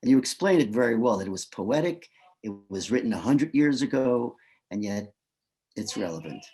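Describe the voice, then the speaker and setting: A man on a virtual panel speaking haltingly over a video call, searching for his words. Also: mid-range voice, lively intonation, mellow, rough recording. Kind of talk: casual panel chat.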